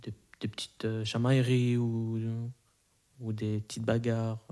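A man's voice: fairly low pitch, level tone, measured pace, warm tone. A man in his twenties, a native Arabic speaker, answering interview questions casually with many fillers and restarts. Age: 20s.

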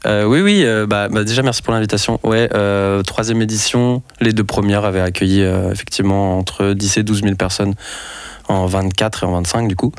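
A man's voice: fairly low pitch, fairly even intonation, brisk, clean tone, no echo, clean studio sound. A young man from France, a festival organizer speaking as a radio interview guest, hesitant with many restarts. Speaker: festival organizer and network coordinator.

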